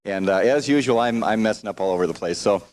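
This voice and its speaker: A man's voice: on the low side, quick pace, a little mellow. A man from the United States speaking informally from the stage as he introduces a group, with a self-deprecating manner. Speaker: choir director introducing the singers.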